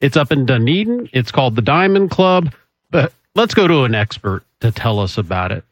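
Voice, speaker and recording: A man hosting a call-in radio talk show, brisk, chatty and joking. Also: medium pitch, big pitch swings, dry recording. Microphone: studio microphone. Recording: slightly dull broadcast audio.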